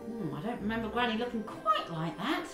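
A woman playing an excitable, kindly young girl from a fairy tale, with gasping, exaggerated delivery in interactive children's theatre. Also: a little high, fairly quick. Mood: worried. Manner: breathy gasps, exaggerated expressive delivery. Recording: echoey room with background music.

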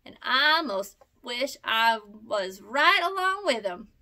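A woman's voice: on the high side, big pitch swings, takes it slowly, sharp tone, full character voice. A woman reading aloud voices a jolly, teasing old male possum with a drawling folksy dialect.

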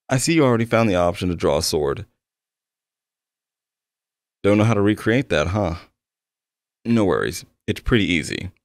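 A man's voice: on the low side, dry recording, clean studio sound. A man playing an eager, slightly awkward game developer, speaking conversationally and attentively to the listener in an ASMR-style roleplay. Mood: excited, friendly. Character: eager, soft-spoken obsessive male game developer. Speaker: roleplay voice actor.

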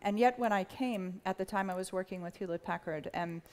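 A middle-aged woman from the United States, speaking on a panel in a measured way with many fillers and restarts. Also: medium pitch, darkish tone. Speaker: panelist, company executive. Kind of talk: panel discussion. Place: large hall with a live audience. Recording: long hall reverb.

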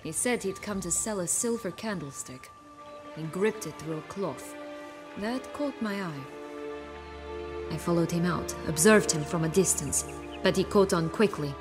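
Woman acting a low-voiced, haughty, cynical noblewoman. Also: over music, lightly acted. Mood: wry recounting. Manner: measured, sardonic, theatrical. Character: haughty, cynical, wounded female noble with dark past. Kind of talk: fantasy video game acting.

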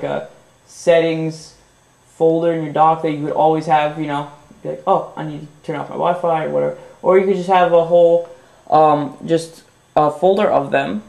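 A young man hosting a casual phone how-to, his voice a little stuffy from a runny nose. He talks in a relaxed, upbeat way with plenty of fillers, his pitch sitting a touch high. The room around him is noticeably echoey. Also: creaky. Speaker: tech video host.

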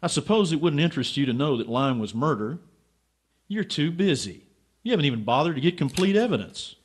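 An actor playing a stubborn, brash, sarcastic writer turned sleuth in a radio drama. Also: male voice, on the high side, lively intonation, a little fast, slightly rough. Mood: indignant, sarcastic. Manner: insistent, sarcastic. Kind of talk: radio drama acting with narration.

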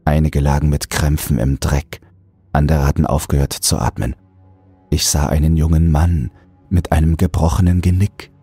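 A man narrating a scripted horror audiobook in a deliberate, brooding delivery. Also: rumbling low, fairly even intonation, mellow, husky, no room sound, faint music behind.

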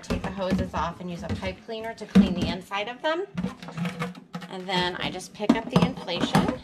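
A woman narrates her cleaning routine in a home kitchen, practical and unhurried, with the odd "um" as she works. Her voice sits at an ordinary middle pitch. Loud running water from the sink fills the background. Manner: plain, practical, talks while working with fillers. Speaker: homesteader showing her routine. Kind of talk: casual how-to narration. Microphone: camera mounted above the sink. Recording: running water noise behind.

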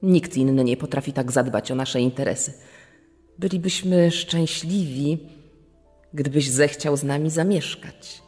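A man's voice: on the high side, measured pace, a little mellow, husky. A man playing a grateful, trusting wealthy husband in a dramatized podcast quote, speaking earnestly and with measure. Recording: long reverb, music underneath. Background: music.

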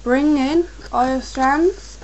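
Young woman tutor, getting a cold, calm and repetitive, recorded on a computer mic at her desk. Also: high voice, muffled recording. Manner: patient, repetitive instructions, slow and careful at times. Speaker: crafts tutorial maker. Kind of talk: step-by-step craft instruction.

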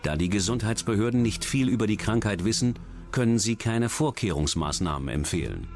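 A man's voice: fairly low pitch, lively intonation, fairly quick. A man reading scripted narration as a documentary narrator. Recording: loud music bed under voice. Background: music.

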